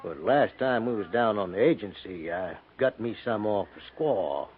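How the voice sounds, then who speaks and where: A man's voice: fairly low pitch, lively intonation, moderate pace, breathy edge. An American man playing a dry, teasing older cavalry trooper with a laconic, folksy drawl in a 1950s radio western. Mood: easygoing. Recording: tinny, noisy 1950s radio recording.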